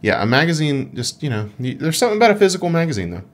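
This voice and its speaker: A man co-hosting a gaming podcast in casual chat, with an animated, jokey way of talking. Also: on the high side, rapid-fire.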